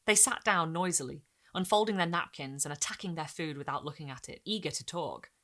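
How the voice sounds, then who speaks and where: A woman reading a gothic short story expressively, in a measured, dramatic way with careful phrasing. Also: low-pitched, pushed and bright. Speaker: narrator and host.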